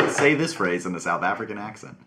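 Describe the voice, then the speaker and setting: A man's voice: on the low side, animated, moderate pace, very noisy. A man hosting a podcast quiz game in casual chat, teasing and laughing often. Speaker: podcast host and quizmaster.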